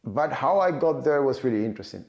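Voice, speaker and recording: A man in his forties with a Cuban accent talks casually to camera. His voice has a gravelly edge, and his pitch sits in the middle for a man. He moves forward haltingly, filling gaps and repeating words as he thinks. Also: lively intonation, a little mellow, highs cut off. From Cuba. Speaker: data and AI tech professional. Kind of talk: casual personal talk to camera.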